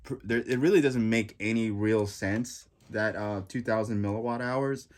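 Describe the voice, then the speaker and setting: A man's voice: lowish voice, moderate pace. A man with a congested, stuffy nose giving a casual, rambling reaction review of a gadget, full of fillers and restarts. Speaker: tech reviewer.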